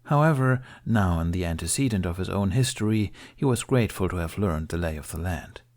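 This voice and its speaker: A man's voice: deep voice, lively intonation, a little fast, darkish tone. A man reading a scripted story aloud as an audiobook narrator. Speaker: audiobook reader. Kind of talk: scripted narration of fan fiction.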